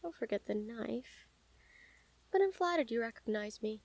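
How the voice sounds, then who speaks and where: Woman playing a high-voiced lovestruck assassin, intimate and deliberate. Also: animated, creaky, a little mellow, no echo. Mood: calm, menacing. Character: lovestruck female noble-house assassin, calm, menacing yet smitten. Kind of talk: intimate scripted audio roleplay addressed to the listener.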